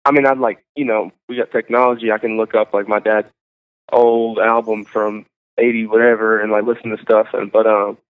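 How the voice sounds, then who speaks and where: Young male guest, rambling and filler-heavy, smooth voice, tinny phone-like sound. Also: lowish voice, flat delivery. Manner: halting, full of likes and ums. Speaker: singer-songwriter guest. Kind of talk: casual interview chat.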